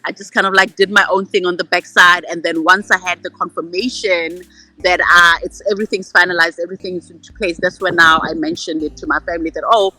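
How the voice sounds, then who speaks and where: A woman's voice: fairly low pitch, steady tone, a little fast, rough recording. A woman in her thirties from South Africa with a South African accent, candidly telling her story as an interview guest. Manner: bright, candid, repeats words.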